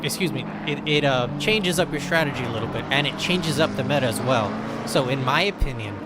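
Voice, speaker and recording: A man's voice: mid-range voice, lively intonation, a little fast. An American man giving casual, chatty commentary on a sim racing qualifying session. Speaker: race commentator. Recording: loud game engine noise behind.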